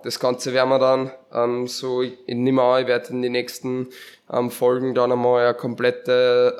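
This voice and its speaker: Congested Austrian man, flat intonation. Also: medium pitch. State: sick with a head cold, congested. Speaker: podcast host. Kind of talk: casual solo podcast recap.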